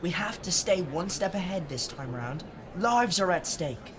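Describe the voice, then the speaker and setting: An actress playing an earnest, determined young investigator. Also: female voice, lowish voice, expressive, measured pace, crowd noise.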